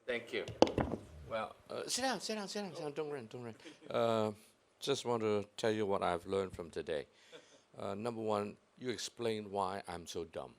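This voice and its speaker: A very deep-voiced man hosting a live talk. He jokes at his own expense and speaks with lots of hesitant ums and uhs. His tone is relaxed and chatty. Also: moderate pace. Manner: jovial, self-deprecating, hesitant with many uhs. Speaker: host of the event. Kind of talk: casual event chat.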